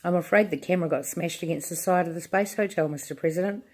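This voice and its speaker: A woman's voice: on the low side, quick pace, darkish tone, lightly acted. Woman doing a folksy male astronaut. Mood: calm.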